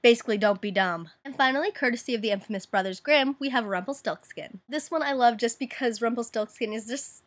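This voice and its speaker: A woman's voice: fairly high pitch, a little fast, few pauses. A woman vlogger telling stories in a casual, chatty style, with wry asides and informal slang.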